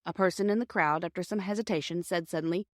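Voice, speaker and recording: A woman's voice: lowish voice, quick pace, dry recording, studio quality. A woman narrating a children's story from a script, as an audiobook reader.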